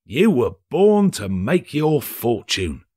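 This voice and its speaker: A grasping old rogue who deals in stolen goods, straight out of a storybook, put on by a male narrator. He haggles in a sly, wry drawl with a husky edge, his pitch rising and dipping freely. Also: very animated, takes it slowly, warm tone, heavily acted. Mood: sly, incredulous.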